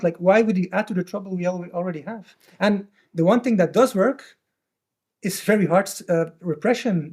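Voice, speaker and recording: Male panelist with a notably high voice, blunt and argumentative in conversational political debate. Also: warm tone.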